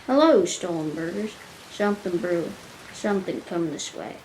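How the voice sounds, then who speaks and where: A woman's voice: lowish voice, expressive, moderate pace, darkish tone, natural voice, poor audio. A woman playing a watchful old man who mutters tersely to himself in a children's radio play. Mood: pondering, watchful. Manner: terse, pondering, humming to self. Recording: faint background noise.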